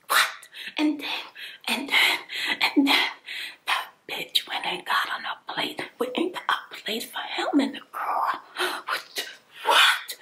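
A woman's voice: a little high, sharp tone, rough recording. A woman telling a personal story in a heated whisper, emphatic and exasperated, swearing and repeating herself in casual slang.

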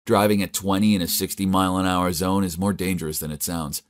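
A man voicing a stern but kindly male police officer, measured and official, in a narrated story. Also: deep voice, little pitch movement, close to an everyday voice. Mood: measured, lenient. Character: stern but charmed male traffic cop.

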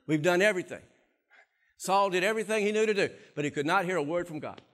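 A middle-aged man preaching a sermon from a church stage on a lapel mic, animated and swinging between quiet and loud passages. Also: high-pitched, quick pace. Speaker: pastor.

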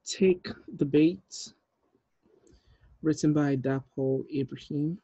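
A man in his thirties reading screenplay directions aloud in a measured, deliberate way, with small stumbles. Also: on the high side, steady tone, mellow, husky, dry recording, dull audio. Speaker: writer reading stage directions at a table read. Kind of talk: script narration, reading aloud. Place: indoor room, on a video call.

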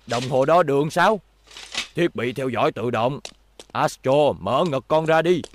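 A man's voice: mid-range voice, unhurried, a little hiss or hum, natural voice. A man voicing a fussy, fatherly old professor in a cartoon dub, earnest and explaining. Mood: caring. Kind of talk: cartoon dubbing.

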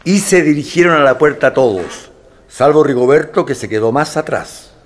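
A man's voice: fairly high pitch, animated, normal speed. A man with a Chilean accent narrating a radio play as a wry, pitying storyteller. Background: accordion music.

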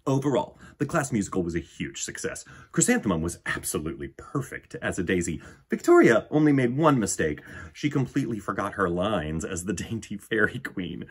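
A man in his forties hosting children's storytime, reading and chatting in a theatrical, expressive way. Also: on the low side, husky. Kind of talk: animated picture-book reading and chat for children. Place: indoor room with bookshelves.

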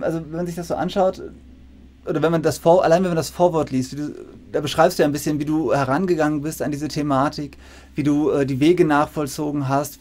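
Higher-pitched male interviewer, discursive. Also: darkish tone. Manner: discursive, self-correcting. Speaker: interviewer, university lecturer. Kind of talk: informal interview questioning. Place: indoor room with bookshelves.

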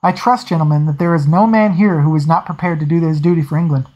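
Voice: medium pitch, fairly even intonation, fast talker, a little mellow, natural voice. A reader voicing a stern, commanding male naval captain who speaks formally and snaps when challenged, on a dull lo-fi recording.

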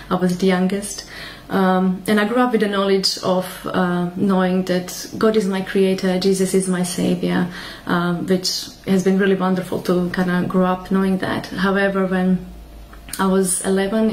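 A woman's voice: fairly low pitch, darkish tone, a little hiss or hum, low-quality recording. A woman, sharing her faith testimony to a webcam at home, hesitant with many fillers. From Croatia. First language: Croatian. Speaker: church member sharing testimony. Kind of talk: personal testimony to camera. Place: small room at home, indoors.

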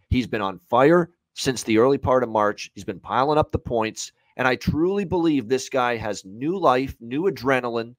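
Male sports analyst, thirties, lowish pitch, dry sound, emphatic and opinionated, unscripted delivery. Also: no echo. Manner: emphatic, repeats phrases for stress. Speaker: sports betting analyst on a show. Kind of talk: opinionated unscripted hockey betting commentary. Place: indoor room at home, on a video call.

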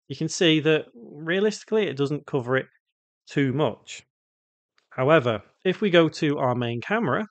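Man, slightly high voice, casual tutorial presenter, step-by-step instruction, dry close-miked voice-over. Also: no room sound. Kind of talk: unscripted step-by-step software tutorial narration.

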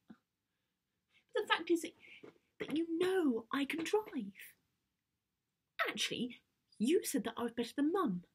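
A woman's voice: fairly high pitch, breathy edge, voice pushed up, heavily acted. A woman performing a comedic stage monologue as a dramatic, pleading girl of nearly sixteen, exasperated and whiny. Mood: wheedling, insistent.

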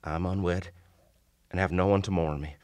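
Guy acting a wounded old-timey gentleman soldier, really low voice, grave n formal, radio drama narration. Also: fairly even intonation, normal speed, lightly acted. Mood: grave, resigned. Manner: formal, grave, old-fashioned courteous speech. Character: wounded gentlemanly soldier recounting his haunted, doomed past. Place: small indoor radio studio. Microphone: shared vintage-style studio mic on stand.

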